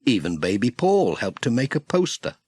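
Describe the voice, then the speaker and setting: A man's voice: mid-range voice, very animated, moderate pace, darkish tone, slightly rough, no echo. A man with a British accent reading a children's story as a scripted audiobook narrator.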